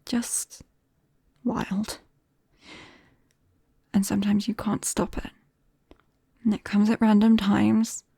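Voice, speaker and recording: A British woman playing a shy, embarrassed young vampire confiding in a friend in an ASMR roleplay, soft-spoken and hesitant, often slipping into whispers, close to the microphone. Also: mid-range voice, level tone, takes it slowly, creaky, pauses often, breathy edge, dry recording, exaggerated voice. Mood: sheepish, earnest. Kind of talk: ASMR roleplay, intimate and conversational.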